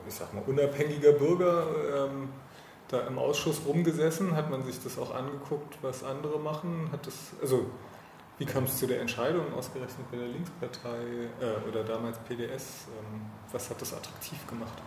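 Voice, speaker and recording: A man's voice: medium pitch, fairly even intonation, a little fast, darkish tone. A man interviewing casually, tentatively rephrasing his questions as he goes. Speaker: interviewer, podcast host. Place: indoor room, face-to-face over coffee. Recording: echoey room with faint background noise.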